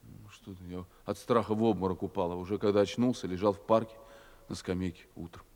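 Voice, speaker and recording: Pleading, naive prisoner, cavernous reverb. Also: male voice, sits low, steady tone, quick pace, a little mellow. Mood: fearful, subdued. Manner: rambling, pleading, colloquial. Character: frightened, naive, rambling male prisoner pleading innocence. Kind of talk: theatrical dramatic acting in Russian. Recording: long reverberant hall echo.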